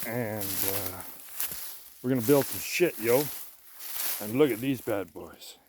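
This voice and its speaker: A man rambling casually as he walks outdoors, boastful and self-assured, halting and repeating himself as he muses. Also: fairly low pitch, background noise. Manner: halting, repeats and trails off, musing, swears casually. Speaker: vlogger sharing personal philosophy. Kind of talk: rambling casual monologue while walking. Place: outdoors in woodland by a pond, walking.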